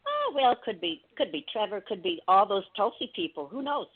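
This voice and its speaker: Casting: chatty female caller, mid-pitched, emphatic and informal, heard through a tinny telephone connection. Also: big pitch swings, a little fast, husky. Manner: talkative, emphatic. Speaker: radio show caller. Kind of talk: casual chat.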